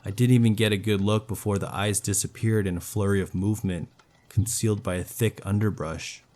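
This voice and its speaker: A man's voice: fairly low pitch, fairly even intonation, a little fast, darkish tone. A man reading a scripted first-person horror story as its narrator.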